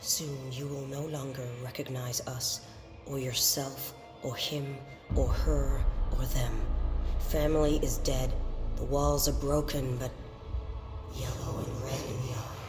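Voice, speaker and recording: A man's voice: medium pitch, flat delivery, a little slow, lightly acted. A man voicing a cold, cryptic otherworldly hive entity in a video game, chanting in a detached, ominous way. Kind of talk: eerie incantation, video game acting. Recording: long cavernous reverb, loud music.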